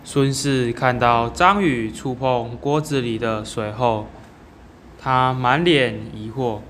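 A man's voice: mid-range voice, slow, a bit bright, slight background noise. A teenage male student announcing and narrating a scripted amateur radio drama. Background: music.

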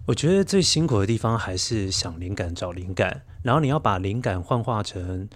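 A middle-aged man with a Taiwanese Mandarin accent explains his work in a measured, reflective way as an interview guest. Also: on the low side, animated. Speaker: podcast guest, children's storyteller and radio DJ. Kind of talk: interview answers, explaining behind-the-scenes work.

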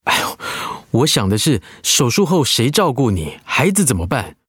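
A man acts a busy, self-absorbed husband in an audio drama. His mid-pitched voice swings with irritation and sounds curt and dismissive, recorded close and dry. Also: very animated, normal speed, fairly bright tone, breathy edge, no echo. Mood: irritated, stressed.

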